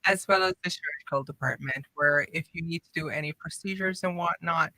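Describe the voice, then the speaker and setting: Upbeat young woman, bright voice. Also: sits low, brisk, dry recording, poor audio. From Guyana, raised in the Cayman Islands. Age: young adult. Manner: earnest, upbeat, explains at length. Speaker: hospital operations manager, guest. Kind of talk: radio interview answers. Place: radio studio.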